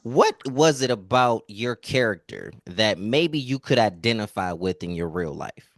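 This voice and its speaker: A man with a fairly low voice conducts a conversational entertainment interview. He is encouraging and full of compliments for his guests. The sound is dry, with very little room around him. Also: lively intonation, a little slow, dry recording.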